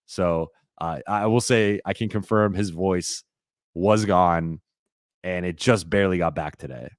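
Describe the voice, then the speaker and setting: A man hosting a live-stream Q&A, talking casually and rambling with lots of fillers and restarts, his voice a little raspy. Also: deep voice, measured pace, dry recording, studio quality. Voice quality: slightly raspy voice.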